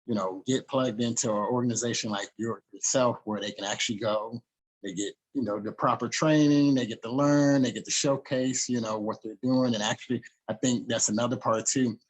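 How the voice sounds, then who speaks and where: A man's voice: mid-range voice, steady tone, a little fast, slightly rough, rough recording. A man hosting a casual interview, talking in an easygoing way with frequent "you know" fillers. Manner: easygoing, uses "you know" and "I guess you could say". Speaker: podcast host.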